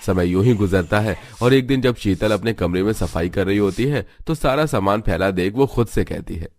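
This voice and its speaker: Male narrator, fairly deep voice, scripted storytelling for an animated family tale. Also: normal speed, no room sound.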